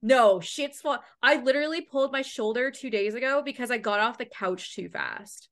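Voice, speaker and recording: A woman's voice: a little high, moderate pace. A woman in her late twenties from Canada, hosting a casual podcast interview, chatty and swearing freely. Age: 20s. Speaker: podcast host.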